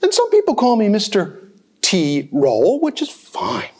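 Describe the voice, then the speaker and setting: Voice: medium pitch, unhurried, voice pushed up. A storyteller playing a big, misunderstood troll who introduces himself in a chatty, touchy way. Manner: chatty, touchy, swinging from calm to outburst. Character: big sensitive troll telling his side.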